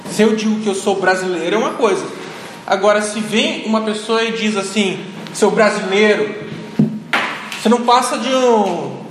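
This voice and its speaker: A man's voice: high-pitched, normal speed. Man lecturing in an echoey hall. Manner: frequent "né?" tag questions, thinking aloud. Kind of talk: classroom lecture. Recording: echoey hall, noisy ambience.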